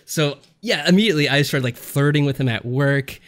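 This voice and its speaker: A man's voice: on the high side, fairly quick, fairly bright tone, slight background noise. Man chatting casually, rambling storyteller. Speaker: podcast co-host. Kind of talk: casual chat reviewing games.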